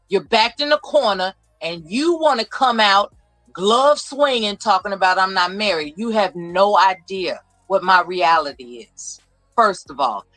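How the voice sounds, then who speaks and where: A woman's voice: medium pitch, a bit bright, no room sound, rough recording. A woman debating on a live panel, firm and commanding as she presses her points.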